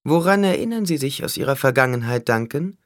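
A man's voice: mid-range voice, expressive, fairly quick, no room sound, clean studio sound, voice pushed up, close to an everyday voice. A man voicing a cunning shapeshifter villain in an audiobook, smug and taunting as he negotiates with menace. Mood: musing, probing. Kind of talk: audiobook character acting.

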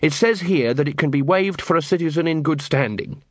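A man's voice: a little high, no room sound, pitched above the performer's own voice, natural voice. A man voicing a young city watchman, earnest, literal-minded and formally polite, in an audiobook comic fantasy story. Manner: measured, formal, officious.

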